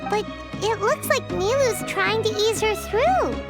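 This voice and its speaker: A tiny, squeaky, sassy companion. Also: female voice, unhurried, full character voice. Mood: chatty. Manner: excitable, blunt, sassy. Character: small chirpy female floating companion. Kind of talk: video game dub acting. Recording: game audio with loud background music.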